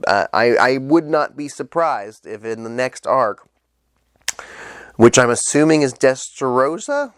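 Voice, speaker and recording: A man's voice: medium pitch, fairly bright tone, no echo, studio quality. A young man with a stuffed-up nose, chatting casually and eagerly as he reviews an anime, with loose fillers and the odd swear. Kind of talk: casual solo review vlog.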